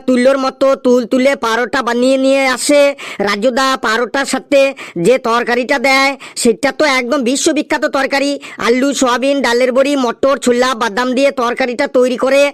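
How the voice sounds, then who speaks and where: Man voicing a high-pitched cheeky cartoon schoolboy. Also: fairly bright tone. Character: cheeky schoolboy Felu, food-obsessed, earnestly reciting essays. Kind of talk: comic cartoon dialogue.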